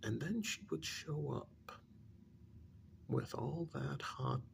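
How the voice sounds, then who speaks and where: A man playing a calm, probing psychiatrist in an ASMR role-play, speaking softly and breathily with measured pauses. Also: medium pitch, a little hiss or hum, full character voice. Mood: confiding, measured. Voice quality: breathy, half-whispered soft speech. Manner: slow, deliberate, measured with pauses. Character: calm, probing male psychiatrist counseling a supernatural couple.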